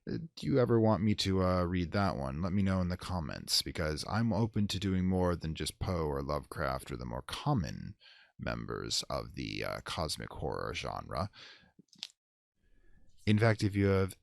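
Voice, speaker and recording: Very deep, gravelly male horror storyteller. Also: sing-song, moderate pace, no echo, clean studio sound. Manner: theatrical, ornate phrasing with wry asides. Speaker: horror story reader and channel host. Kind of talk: dramatic gothic audiobook reading, then informal host sign-off.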